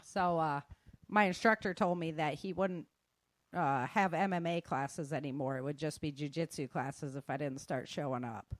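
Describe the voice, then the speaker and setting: A woman's voice: low-pitched, fairly even intonation, normal speed, dry recording. A middle-aged woman giving an informal talk in a candid, colloquial way with joking asides.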